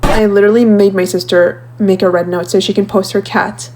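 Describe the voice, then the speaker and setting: A woman's voice: medium pitch, flat delivery, a little fast, background noise. Casual vlogger, woman, thirties, Arabic accent, native Arabic speaker, chatty and rambling, mid-range voice. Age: 30s. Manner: chatty, rambling, filler-heavy. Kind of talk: casual vlog chat reacting to clips.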